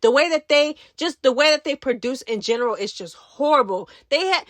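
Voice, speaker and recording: A woman's voice: high voice, expressive, brisk, dry recording. A woman giving casual gossip commentary as a channel host, speaking in a sassy, emphatic, slangy way. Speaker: host of a reality TV recap channel.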